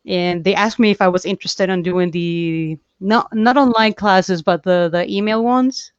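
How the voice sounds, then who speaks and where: A young woman from Chile, a comic artist chatting as an interview guest, talking freely with halting restarts and easy laughs. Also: medium pitch, clean tone, no echo. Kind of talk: casual interview chat. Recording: dull, dry lo-fi call audio.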